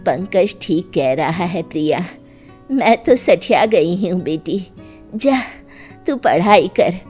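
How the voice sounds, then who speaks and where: A woman puts on an old grandmother for a cartoon tale, fond yet stubborn. The pitch is lowish and the words come slowly, over faint music. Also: animated, a little mellow. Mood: fond, resigned. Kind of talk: animated story voice acting.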